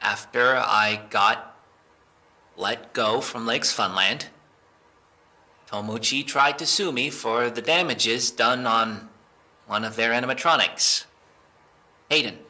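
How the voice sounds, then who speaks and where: An actor playing a defensive young man in an audio drama, halting and sweary, insisting he is innocent. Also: lowish voice, pushed and bright, full character voice. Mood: subdued, reluctant. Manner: halting, sweary, emotional delivery.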